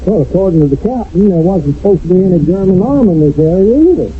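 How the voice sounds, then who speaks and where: An excitable high-voiced soldier, tinny radio. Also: male voice, quick pace. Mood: puzzled, uneasy. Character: anxious ordinary American soldier under fire in wartime. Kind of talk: old-time radio drama acting. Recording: tinny band-limited radio, noisy battle ambience.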